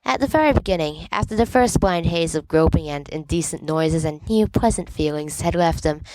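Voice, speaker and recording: A woman's voice: low voice, lively intonation, moderate pace, warm tone, dry recording, low-quality recording. A woman reading fiction aloud as an audiobook narrator, with a wry, emphatic comic delivery. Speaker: volunteer audiobook reader of fan fiction. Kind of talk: expressive scripted narration of a story.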